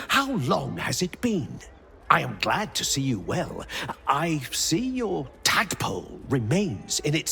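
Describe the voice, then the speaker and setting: An actor playing a kindly, curious mind flayer scholar who speaks in a slow, polite, gravelly way in a video game. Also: male voice, a little high, sharp tone, a little hiss or hum, full character voice.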